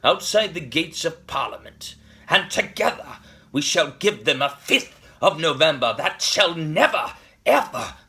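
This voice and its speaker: Man playing an animated, defiant revolutionary orator. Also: a little high, exaggerated voice. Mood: rousing, resolute. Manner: grandiloquent, deliberate oratory with dramatic emphasis. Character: eloquent, defiant male vigilante revolutionary addressing the nation. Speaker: actor performing a monologue. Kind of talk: theatrical dramatic monologue, public address.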